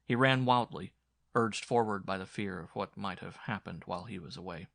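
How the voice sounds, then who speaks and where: A man's voice: sits low, moderate pace, creaky, a bit bright, no room sound, studio quality. A man giving a dramatic scripted narration of a horror story as an audiobook narrator.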